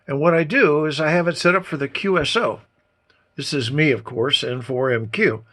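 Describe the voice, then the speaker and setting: A man's voice: fairly high pitch, moderate pace, fairly bright tone. A man giving a casual, folksy hands-on demonstration as a hobbyist presenter, explaining things informally. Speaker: amateur radio hobbyist presenter.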